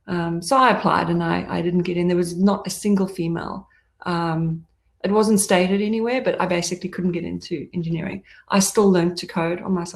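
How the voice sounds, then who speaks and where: A middle-aged woman from Zambia gives a conference talk as a tech CEO, candid and emphatic, dropping in plenty of ums and asides. Her voice carries a gravelly, creaky edge. The sound is roomy and echoey. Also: on the low side, darkish tone.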